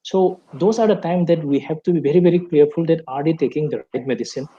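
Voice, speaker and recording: Panelist, adult male, mellow and somewhat high, earnest explainer. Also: quick pace, muffled recording. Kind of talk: online panel discussion.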